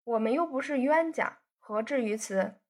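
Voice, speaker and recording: A woman's voice: fairly high pitch, expressive, normal speed. A woman reading a teasing young rich man. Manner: bantering, coaxing.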